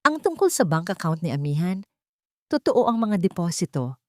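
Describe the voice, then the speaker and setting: A woman's voice: lowish voice, big pitch swings, moderate pace, no echo, studio quality. A woman voicing a shrewd male private investigator in an audio drama, respectful and factual. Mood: factual, revealing.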